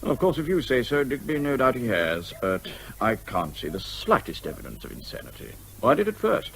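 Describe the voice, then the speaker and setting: An actor playing a brisk, sensible family doctor in a radio comedy drama. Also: male voice, mid-range voice, huge pitch swings, creaky, a bit bright, slight background noise, heavily acted. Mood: brisk, puzzled. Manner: brisk, reasonable.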